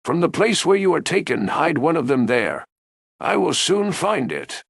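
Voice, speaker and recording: A man plays a fatherly storybook king who lays out his schemes firmly and deliberately. His pitch moves up and down a great deal, over a gravelly, creaking base. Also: big pitch swings, dry recording, studio quality, full character voice. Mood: scheming, firm. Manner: deliberate, instructive. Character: scheming fatherly king giving firm advice. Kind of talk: storybook character voice.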